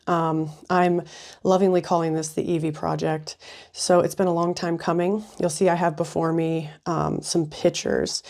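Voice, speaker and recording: A woman hosting a casual craft tutorial, chatty with frequent ums, her voice slightly raspy and sniffly from a cold. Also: fairly low pitch, darkish tone. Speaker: craft video host.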